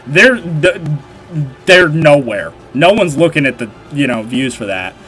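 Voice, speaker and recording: A man's voice: fairly high pitch, very animated, measured pace, a bit bright, low-quality recording. A man with a nasal voice hosting a livestream, chatting casually and rambling with lots of fillers and restarts. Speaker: livestream host. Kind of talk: casual livestream chat while modding.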